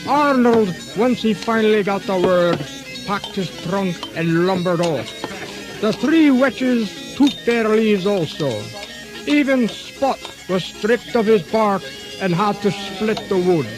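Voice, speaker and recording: A man's voice: sits high, lively intonation, takes it slowly, music in the background. A man narrating a comic radio drama in a mock-solemn storytelling style.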